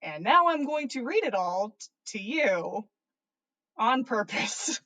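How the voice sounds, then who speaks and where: A woman's voice: on the high side, very animated, slow, a bit bright, poor audio. A woman podcast host with an informal manner, reading stories aloud and chatting casually. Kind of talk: animated story reading and casual livestream chat.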